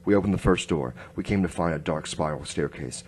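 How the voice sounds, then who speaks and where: A man plays a hard-boiled inspector narrating a noir radio drama. His voice is very low, and his delivery is terse and grim, over faint background noise. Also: brisk, darkish tone. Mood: grim narration.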